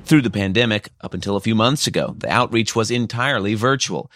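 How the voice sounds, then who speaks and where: A man delivering a scripted radio news report in a measured, even way. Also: fairly low pitch, no room sound, clean studio sound.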